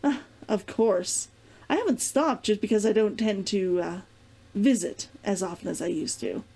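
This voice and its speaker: A woman's voice: mid-range voice, normal speed, mellow, husky. A woman voicing a wry young woman speaking informally to an old flame in a storybook reading. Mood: amused, friendly.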